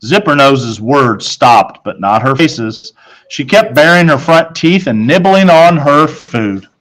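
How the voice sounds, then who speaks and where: Slow, deliberate man reading a children's story. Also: medium pitch, expressive, a bit bright, poor audio. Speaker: storybook narrator. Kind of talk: scripted children's story narration, first person.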